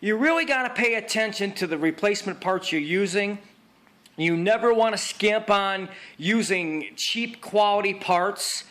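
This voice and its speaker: A man with a notably high voice talks viewers through a repair guide in an informal way. He is emphatic and opinionated, circles back to repeat his points, and words things bluntly with plenty of slang. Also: unhurried, a bit bright. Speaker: vintage video equipment repairer giving a how-to guide. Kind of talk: informal instructional talk to viewers.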